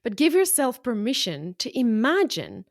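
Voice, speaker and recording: A woman's voice: fairly high pitch, wildly swooping pitch, moderate pace, dry recording, clean studio sound. A middle-aged woman from South Africa with a mixed South African and Australian accent, hosting a motivational solo podcast for business owners in a frank, encouraging way. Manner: frank, encouraging, punchy short phrases. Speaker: podcast host and business coach.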